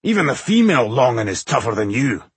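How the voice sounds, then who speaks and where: A man's voice: mid-range voice, big pitch swings, fast, husky, no room sound. A man voicing a surly, scornful dwarf warrior who growls and mutters in a fantasy audiobook.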